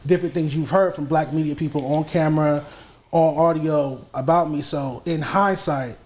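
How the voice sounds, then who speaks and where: A man making his case calmly in a casual podcast debate. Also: fairly high pitch, normal speed. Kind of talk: casual heated podcast discussion.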